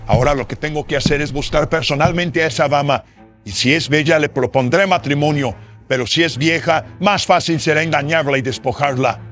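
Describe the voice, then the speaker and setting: A pompous villain of a notary played by a man in a horror radio play, his voice pitched fairly high. He is scornful and commanding, full of greed and schemes, with music underneath. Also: brisk, fairly bright tone, lightly acted. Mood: greedy, scheming. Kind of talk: Spanish-language horror radio drama acting.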